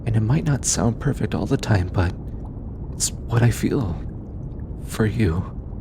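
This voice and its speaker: Intimate audio drama: affectionate alien scientist, male actor, earnest and hesitant, unhurried, over clear background rumble. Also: animated. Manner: tender, hesitant, softly formal speech. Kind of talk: intimate roleplay audio acting.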